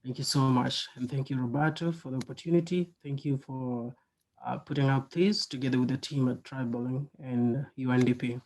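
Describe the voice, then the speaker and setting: Soft-spoken man giving a halting tribute. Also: medium pitch, steady tone, rough recording. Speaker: speaker at a memorial. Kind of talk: spoken tribute on a video call. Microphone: video call microphone.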